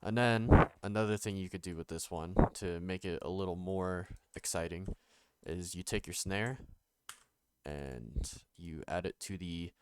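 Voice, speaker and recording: A young man with a nasal voice, recovering from being sick, talking casually through a music production tutorial with many pauses and fillers. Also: a little hiss or hum. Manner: halting, many ums and restarts, low-key. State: recovering from illness. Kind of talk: casual screen-recorded tutorial.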